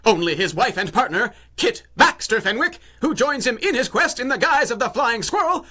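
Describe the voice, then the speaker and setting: A man's voice: extremely high pitch, big pitch swings, moderate pace, heavily acted. A man playing a grandiose 1930s radio serial announcer with a dramatic, declamatory delivery. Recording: loud music under the voice.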